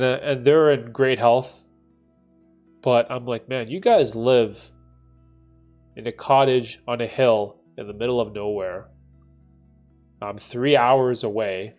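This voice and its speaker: A man in his late thirties hosting a casual livestream, talking in a thoughtful, rambling way and often tagging his sentences with "right?". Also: medium pitch. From Canada. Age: 30s. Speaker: livestream host answering chat. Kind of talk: casual reflective livestream chat.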